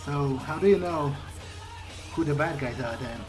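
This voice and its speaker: A man's voice: on the high side, moderate pace, shouting, rough recording. Hesitant man role-playing over game music. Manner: hesitant, questioning, conversational. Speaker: gamer role-playing his character. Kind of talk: in-character improvised replies in a game playthrough.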